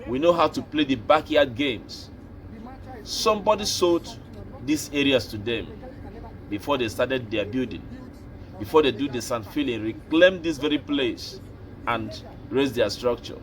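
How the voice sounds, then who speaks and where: A Nigerian man hosting an opinion show, speaking slowly and deliberately, like a preacher. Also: on the high side, poor audio. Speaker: commentary host. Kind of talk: opinion commentary.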